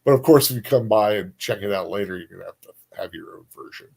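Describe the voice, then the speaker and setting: A man chatting casually as a livestream co-host, speaking in halting, repetitive phrases that often trail off. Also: lowish voice, creaky, a little mellow, husky. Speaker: co-host of a book discussion stream.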